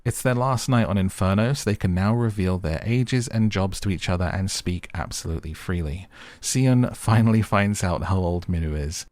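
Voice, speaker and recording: A man's voice: sits low, darkish tone, dry recording. A man narrating an opinionated show recap as host, with wry, exasperated commentary and music underneath.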